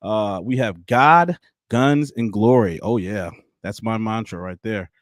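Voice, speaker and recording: A man's voice: fairly low pitch, unhurried, no room sound, studio quality. A man in his thirties hosting a casual live-stream interview, chatty and loose, laughing easily. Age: 30s. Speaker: livestream host.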